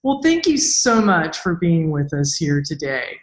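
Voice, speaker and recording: A woman's voice: sits low, sing-song, measured pace. A woman hosting a podcast interview in a casual, chatty style. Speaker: podcast host, author and engineer. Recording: roomy, echoey sound.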